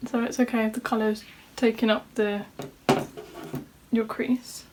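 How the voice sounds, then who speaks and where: A woman's voice: a little high, level tone, a little slow, background noise. A woman vlogger walking through a makeup tutorial in a casual chat, sounding slightly nasal from a cold. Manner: chatty, talks through each step. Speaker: beauty vlogger. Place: home room at a desk.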